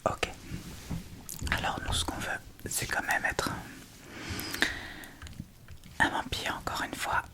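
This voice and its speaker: A woman whispering in a breathy, unvoiced voice, casually thinking aloud as she presents an ASMR makeup tutorial. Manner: hushed, unhurried, informal thinking aloud. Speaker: ASMR video creator.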